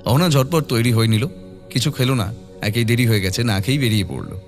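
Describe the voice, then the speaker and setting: A man's voice: mid-range voice, expressive, a little fast, soft background music. A man narrating a scripted horror audio story in a dramatic storytelling style.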